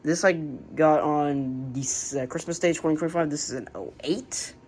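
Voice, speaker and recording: An American woman casually showing a hobby collection, speaking in a halting, unscripted, plain way on an amateur home recording. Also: deep voice, heavy background noise. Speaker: radio collector presenting the collection. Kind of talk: casual hobby show-and-tell narration.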